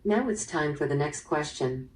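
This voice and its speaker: A woman's voice: low-pitched, animated, normal speed, a little hiss or hum. A woman hosting an interactive story game in a scripted, question-and-answer style. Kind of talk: scripted narration, quiz hosting. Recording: distant, played through a small speaker.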